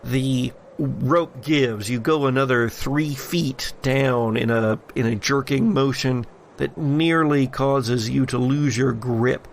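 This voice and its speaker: A man acting as game master, narrating a tabletop horror game with deliberate dramatic pauses. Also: medium pitch, vocal fry, slight background noise.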